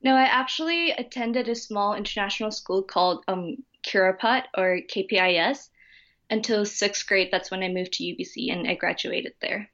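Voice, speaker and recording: A young woman from Thailand, a university student, answering interview questions in a polite, relaxed and friendly way. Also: medium pitch, moderate pace, muffled recording. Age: young adult. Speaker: guest student.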